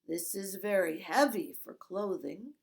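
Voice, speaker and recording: A woman's voice: medium pitch, animated, unhurried, creaky. A woman reading aloud, voicing a stern, suspicious male guard who gives curt orders. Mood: commanding. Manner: curt, commanding.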